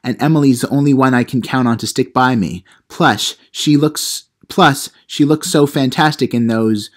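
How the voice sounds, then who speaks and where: A man's voice: medium pitch, normal speed, lightly acted. A man voicing a reluctant, anxious teenage girl in a comedy audio play. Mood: reluctant, flustered.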